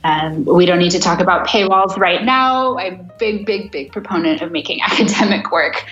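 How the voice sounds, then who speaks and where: Woman in her thirties, lowish voice, chatty podcast guest, muffled sound, faint music behind. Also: lively intonation, normal speed, a bit bright, reverberant. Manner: chatty, laughs often, self-deprecating. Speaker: guest researcher. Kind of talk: podcast interview.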